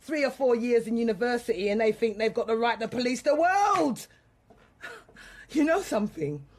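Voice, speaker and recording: A woman's voice: fairly high pitch, lively intonation, normal speed. An actress playing an angry, grieving mother under police questioning, defiant and colloquial, in a naturalistic radio drama. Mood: defiant, ranting.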